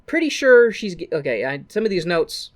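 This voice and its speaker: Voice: mid-range voice, big pitch swings, moderate pace. Sweary podcast co-host recapping a film. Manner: sweary, acting out mocking quotes. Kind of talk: casual, comedic recap of a musical film.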